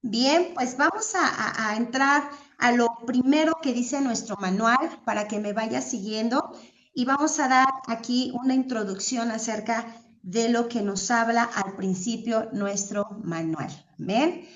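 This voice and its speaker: Higher-voiced woman leading an online class. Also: unhurried. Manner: courteous, devout, encouraging participation. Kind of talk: online class talk, addressing students. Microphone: video call. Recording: dull, lo-fi video call audio.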